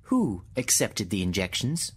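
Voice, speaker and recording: An actor playing an impassive, literal-minded thinking computer in a radio drama, with formal, clipped, mechanical phrasing. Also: male voice, fairly low pitch, wildly swooping pitch, measured pace, slight background noise. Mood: flatly insistent. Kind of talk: radio drama machine dialogue.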